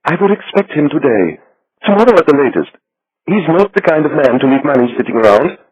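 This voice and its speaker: A man's voice: fairly high pitch, very animated, fairly quick, slightly rough, dull audio, pitched above the performer's own voice. A man voicing a prim, nervous middle-aged Swiss banker who speaks in a fussy, precise, defensive way. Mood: guarded.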